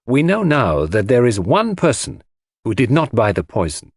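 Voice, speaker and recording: A man voicing a fussy, excitable, vain foreign detective who speaks emphatically in an audiobook mystery. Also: mid-range voice, normal speed, creaky, no room sound, clean studio sound, full character voice. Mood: explanatory. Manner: emphatic, repeats words, French phrases sprinkled in.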